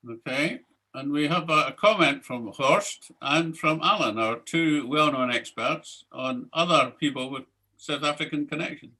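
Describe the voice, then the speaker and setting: A man's voice: a little high, a little slow, bright, forceful tone, rough recording. A man chairing a question and answer session, calling on members by name in brief turns. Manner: brief, calls on people by name. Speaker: meeting chair. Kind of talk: moderating Q and A.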